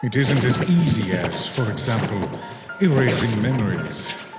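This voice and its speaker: A man playing a calculating male schemer with a deliberate, persuasive, theatrical manner in a comic dub. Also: lowish voice, animated. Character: manipulative male schemer urging a warrior, grave and calculating. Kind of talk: dramatic comic dub voice acting. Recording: echoey reverberant sound under loud music.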